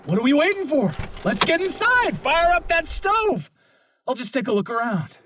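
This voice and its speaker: A man's voice: extremely high pitch, very animated, moderate pace, slight background noise, full character voice. An actor playing a bullying, volatile gunman in a horror audio drama, sneering and taunting. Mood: bossy, suspicious. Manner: taunting, swinging from growl to shout.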